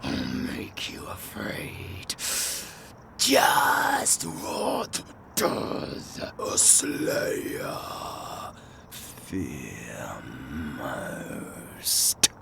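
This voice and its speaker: A man playing a sadistic, theatrical vampire in an audio roleplay, drawing out menacing taunts that swing between whispers and shouts. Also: very deep voice, wildly swooping pitch, very slow, gravelly, background noise, full character voice. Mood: sadistic taunting. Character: sadistic, theatrical male vampire stalking prey. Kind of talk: cinematic audio roleplay acting.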